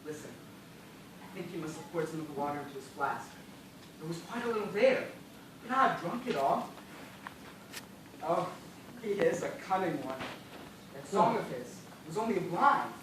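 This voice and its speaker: Echo-drenched, bitter gentleman castaway played by a man. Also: fairly high pitch, animated, normal speed, pauses often, lightly acted. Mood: suspicious, weary. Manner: formal, theatrical, bitter delivery. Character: weakened, bitter, impatient gentleman castaway dying of thirst. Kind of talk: amateur one-act stage play acting. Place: indoor theatre stage, recorded from the audience distance. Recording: very echoey noisy hall, far away.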